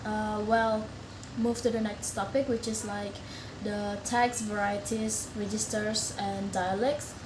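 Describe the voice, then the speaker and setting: A woman's voice: medium pitch, flat delivery, takes it slowly. A young woman from Indonesia whose first language is Indonesian, giving a recorded class presentation in a hesitant way, with many fillers and restarts. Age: young adult. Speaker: student. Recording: echoey room with background noise.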